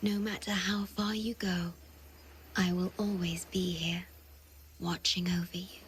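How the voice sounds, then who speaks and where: A woman voicing a gentle, devoted, self-sacrificing guardian in a video game, speaking softly and solemnly with a breathy delivery. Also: fairly low pitch, level tone, measured pace, slight background noise, lightly acted. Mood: tender, sad. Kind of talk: scripted video game cutscene acting.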